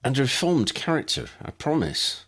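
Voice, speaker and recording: A man voicing a dry, amused young male professor in an audiobook, wry and gently mocking. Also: medium pitch, sing-song, moderate pace, vocal fry, husky, voice pushed up, heavily acted. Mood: pleased, sheepish.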